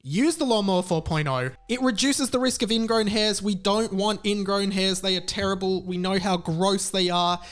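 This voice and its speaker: A man's voice: high-pitched, normal speed, hardly any pauses. A man hosting a podcast, talking informally and frankly, with emphatic repetition. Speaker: podcast host. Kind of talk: casual podcast talk and chatty ad read.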